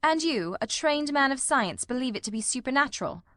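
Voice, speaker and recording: A woman's voice: high-pitched, animated, brisk, fairly bright tone, no echo, studio quality. A woman voicing a keen, probing male detective in an audiobook, with a formal, incisive and inquisitive manner. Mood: wry, questioning. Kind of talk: audiobook character reading.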